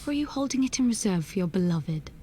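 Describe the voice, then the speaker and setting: A woman's voice: medium pitch, expressive, fast, mellow, slight background noise, natural voice. An actress playing a spirited young adventurer in a fantasy game, arch and wordy as she needles playfully. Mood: teasing.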